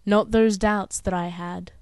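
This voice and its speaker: A young woman performs her poetry in a smooth, crisp voice at a medium pitch. The recording is dry and close, with no room to it. She phrases each line deliberately, in an earnest, dramatic and measured delivery. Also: steady tone, darkish tone, no room sound. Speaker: poet. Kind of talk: spoken-word poetry recital.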